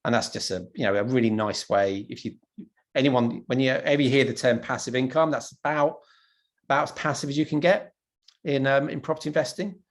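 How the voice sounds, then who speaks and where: A man from London giving an online property presentation in a relaxed, conversational way, with lots of ums and restarts. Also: mid-range voice, fairly quick. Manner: casual, many ums and restarts.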